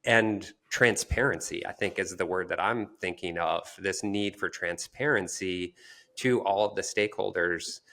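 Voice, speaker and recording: A man co-hosting a podcast, explaining conversationally in a measured way, sometimes searching for words. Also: low voice, sharp tone. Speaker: podcast co-host.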